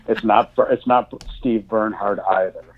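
A man whose first language is German, answering interview questions in a halting way with many fillers and restarts. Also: on the low side, fairly even intonation. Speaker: insurance industry guest. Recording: tinny phone line.

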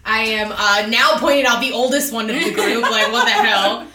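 A woman's voice: fairly high pitch, normal speed, echoey room, crowd noise. A thirty-year-old woman co-hosting a podcast, chatting in a bubbly, jokey way and swearing casually, close to the mic. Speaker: podcast co-host. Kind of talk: casual chat.

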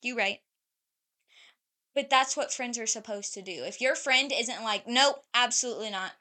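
A woman's voice: a little high, moderate pace. A woman in her twenties co-hosting a casual chat, blunt and rambling, with lots of fillers and swearing. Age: 20s. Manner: blunt, animated storytelling. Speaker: podcast co-host.

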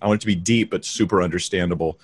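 A man's voice: deep voice, very fast, slightly rough. A man who is a pastor and Bible teacher, explaining his work earnestly as a guest on a video call. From Southern California. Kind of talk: interview guest answering questions.

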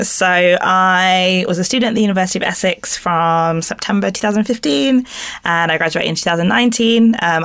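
A woman in her twenties from Zimbabwe whose first language is Shona, chatting casually as a podcast guest and often filling pauses with "um". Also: fairly low pitch, fairly bright tone. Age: 20s. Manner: chatty with many fillers like um. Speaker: podcast guest, former student. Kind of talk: casual interview chat.

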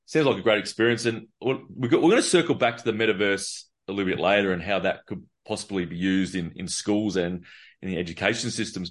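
Male host, lowish voice, halting and filler-heavy interviewer. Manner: hesitant, many fillers. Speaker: podcast host. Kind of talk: interview conversation.

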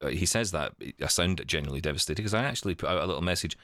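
A man's voice: low-pitched, animated, rapid-fire, fairly bright tone, no room sound, studio quality. A man hosting a podcast in casual, bantering chat, with a jokey, self-deprecating manner. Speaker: podcast host, broadcaster.

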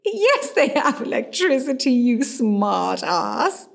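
Wry, high-voiced glamorous actress, played by a woman. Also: sing-song, takes it slowly, creaky, heavily acted. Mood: breezy, reassuring. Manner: wry, dry, theatrical and affectionate. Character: glamorous famous actress in her forties, witty, self-possessed hospital patient. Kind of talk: radio play acting.

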